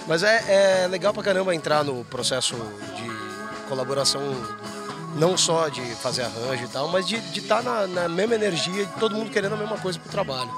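A man's voice: on the high side, expressive, fairly quick, music in the background. A man in the band answering interview questions in an earnest, emphatic, practical way. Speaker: band member.

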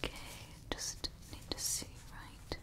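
A woman's voice: takes it slowly, sharp tone. A woman voicing a doting, sinister female evil scientist in an ASMR roleplay, mixing fawning praise with offhand menacing asides. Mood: clinical. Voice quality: whispered. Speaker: ASMR performer.

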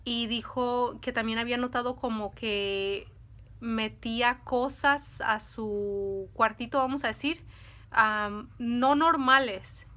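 Woman in her 30s telling a story to camera at home, bright voice, pitched a bit high, lots of hand waving. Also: slow. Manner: animated, talks with her hands, addresses viewers as friends. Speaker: storytime vlogger. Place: indoors at home, in front of a dresser. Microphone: camera at close range.

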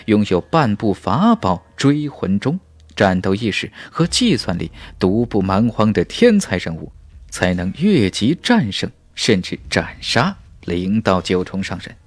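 A man's voice: fairly low pitch, very animated, unhurried, no echo. A man narrating a fantasy novel as an audiobook, in a dramatic, emphatic storytelling style.

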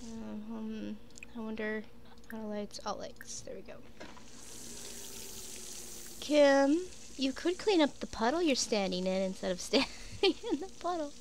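A sniffly young woman gives slow, relaxed commentary over her gameplay, often talking straight to her little characters. Her voice is a touch high with a gravelly edge, and faint hum sits behind her. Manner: chatty, talks to her sims, frequent "like" and "um". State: sniffly with a cold. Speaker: gaming YouTuber. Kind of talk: casual let's play commentary.

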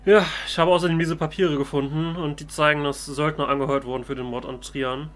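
Man, let's player, role-play commentary, slightly high pitch, bright tone, casual, hesitant. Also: fairly even intonation, brisk. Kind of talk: improvised in-character role-play commentary on a game.

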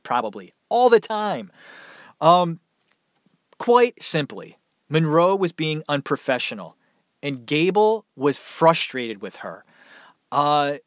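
A man chats through a long story for his podcast, rambling and loose, with plenty of filler words. His voice sits a little high and sounds dry and worn from talking. The sound is close and dead, with no room echo. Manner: rambling, conversational, many fillers. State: dry mouth, tiring voice. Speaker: podcast host. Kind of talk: casual solo storytelling. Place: small room at home.

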